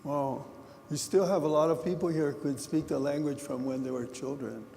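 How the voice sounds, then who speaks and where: A man giving a conversational talk to a conference audience, speaking loosely with pauses and restarts. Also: fairly high pitch, steady tone, creaky, mellow. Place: conference hall. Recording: roomy hall, faint background hiss.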